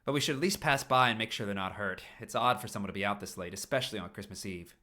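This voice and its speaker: A man's voice: on the low side, quick pace, pushed and bright. A man reading a story and speaking as a caring, level-headed uncle, practical and firm though a little awkward. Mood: concerned.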